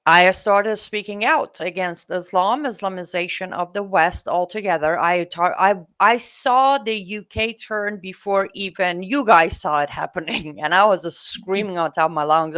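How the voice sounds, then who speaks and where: Forties woman from Iran, guest, outspoken storyteller, medium pitch. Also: fairly bright tone. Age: 40s. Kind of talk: casual interview talk.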